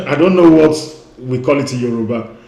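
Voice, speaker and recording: A man's voice: mid-range voice, moderate pace, vocal fry, mellow. Emphatic male coach in echoey room. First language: Igbo. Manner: emphatic, repeats key points, frequent "you know". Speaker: weight-loss coach. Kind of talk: online lecture.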